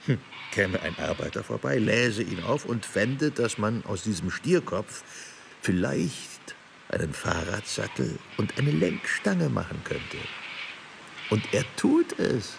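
An actor playing a wry, musing artist in a radio play, speaking deliberately and savoring the pauses with a gravelly voice. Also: male voice, on the low side, very animated, noisy background, heavily acted. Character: wry musing male artist reciting his thoughts. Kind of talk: literary radio play monologue and poetry recitation.

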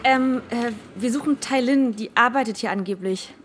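A woman's voice: fairly high pitch, moderate pace, a little hiss or hum, lightly acted. Woman playing a worried teen girl, determined, youthful slang, hesitant questions, natural radio drama, faint background ambience. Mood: hesitant, probing. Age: teenager. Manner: informal youth slang, hesitant when asking. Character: worried, determined 17-year-old girl searching for missing brother.

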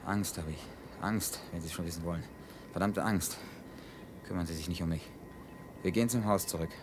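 An actor playing a tense, bitterly sardonic catastrophe survivor in a German radio drama, commanding and urgent in manner. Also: male voice, low-pitched, a little fast, noisy background, natural voice. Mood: afraid, commanding.

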